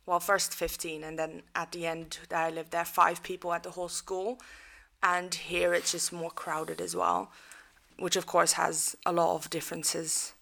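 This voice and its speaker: A 22-year-old woman from the Netherlands answers as a podcast guest in a chatty, matter-of-fact way. Her voice sits fairly low but sounds bright and forceful. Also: level tone, a little slow, creaky. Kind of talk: casual interview answers.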